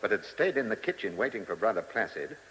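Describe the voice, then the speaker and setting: A commanding police chief inspector in a vintage radio drama. He is brisk and impatient, with a slightly rough, husky voice and lively swings of pitch. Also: low-quality recording. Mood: brisk, probing. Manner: brisk, questioning, authoritative. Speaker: actor in radio play. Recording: hissy old radio recording.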